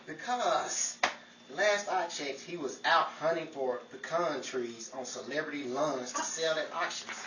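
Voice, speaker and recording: A man playing a wry, bossy Hollywood amateur detective in a 1940s-style radio comedy mystery, theatrical and given to florid flattery and wisecracks. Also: a little high, measured pace, close to an everyday voice. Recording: echoey room, distant mic, noisy crowd behind.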